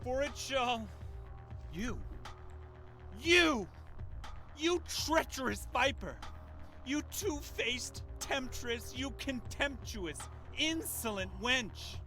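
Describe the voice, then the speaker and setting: Casting: deranged vampire cult leader, video game. Sharp, slow, theatrical zealot with archaic, sermonizing venom, music underneath. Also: male voice, extremely high pitch, lively intonation, exaggerated voice. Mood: venomous, ranting.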